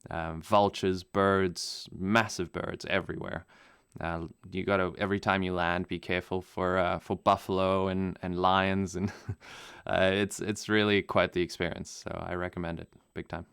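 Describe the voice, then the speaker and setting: A young man who grew up in Namibia, native German speaker, answering interview questions in a relaxed, rambling way with many fillers and restarts. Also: sits low, moderate pace, gravelly, clean tone, no echo, clean studio sound. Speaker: guest pilot.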